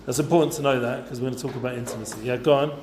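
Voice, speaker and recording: A man's voice: mid-range voice, fairly even intonation, racing, a crowd in the background. A man, talking casually to a youth group with lots of fillers and asides. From North London, England. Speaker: guest speaker for students. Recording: roomy echoey room.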